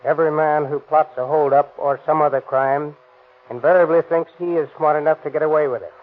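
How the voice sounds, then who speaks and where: A man from the police reads a prepared, stern lecture on a 1930s radio program. His voice sits a bit high. It comes through thin and tinny, like an old broadcast. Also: fairly even intonation, fairly quick. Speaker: police department guest speaker. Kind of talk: read prepared statement.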